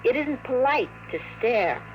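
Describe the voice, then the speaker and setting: An actress playing a cool, sassy secretary in a 1950s radio drama, arch and teasing. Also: female voice, on the high side, lively intonation, unhurried. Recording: faintly noisy vintage radio recording.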